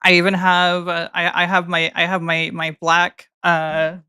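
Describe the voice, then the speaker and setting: A woman's voice: on the low side, completely flat delivery, unhurried, sharp tone, clean tone, dry recording, clean studio sound. A woman streamer with a feminine voice, chatting casually and rambling with many restarts while she plays. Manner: rambling, self-interrupting, joking. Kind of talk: casual gaming stream chat.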